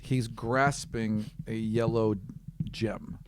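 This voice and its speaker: A man's voice: fairly low pitch, level tone, very slow, noisy background. A man narrating a tabletop role-playing game as game master in a casual, conversational way.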